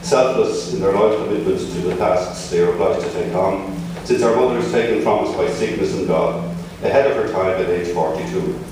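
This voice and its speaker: An Irish man reading his own memoir piece aloud at a book launch, measured with dry asides. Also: deep voice. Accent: Irish, not from Limerick. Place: large echoey museum hall at a book launch. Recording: echoey hall, voice from far off, noisy.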